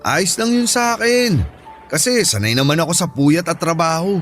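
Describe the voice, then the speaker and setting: Man acting high-voiced, caring shipmate. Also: animated, moderate pace, a bit bright, soft background music. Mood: generous, easygoing. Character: caring, generous male Filipino shipmate and buddy. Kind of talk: Filipino audio drama acting.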